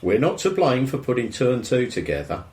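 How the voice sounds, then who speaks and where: Grumbling English suburban husband, played by a man, expressive delivery. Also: mid-range voice, a little fast, reverberant, close to an everyday voice. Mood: grumbling, resigned. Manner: grumbling, dry. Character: grumbling middle-aged suburban husband, nosy, indignant neighbour. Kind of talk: naturalistic audio drama dialogue.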